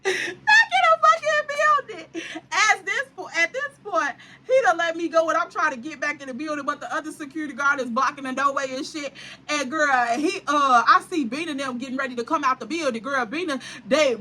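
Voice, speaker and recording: A woman vlogger telling a wild story with animated, profane, dramatic flair. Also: high-pitched, brisk. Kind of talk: storytime vlog.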